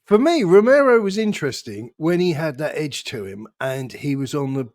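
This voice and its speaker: A man's voice: a little high, big pitch swings, normal speed, darkish tone, no echo. Man in casual football banter, dry close sound. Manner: joking, sarcastic, sweary. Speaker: football podcast host. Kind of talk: casual fan banter and chat.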